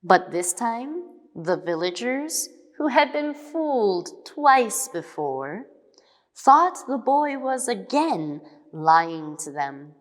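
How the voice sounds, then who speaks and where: A woman reading scripted fables as a storybook narrator, expressive, dramatic and deliberate. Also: a little high. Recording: long hall reverb.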